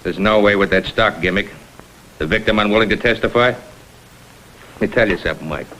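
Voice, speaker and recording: Hard-nosed lieutenant played by a man, American, blunt and forceful, lowish pitch, vintage TV crime drama. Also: fairly quick, slight background noise, natural voice, poor audio. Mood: sardonic. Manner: blunt, terse, world-weary. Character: hard-nosed, sceptical male police lieutenant.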